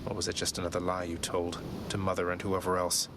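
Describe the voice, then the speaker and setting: Man acting a low-voiced young underworld prince. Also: completely flat delivery, fast, noisy background, close to an everyday voice. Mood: defiant, challenging. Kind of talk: dramatic video game acting.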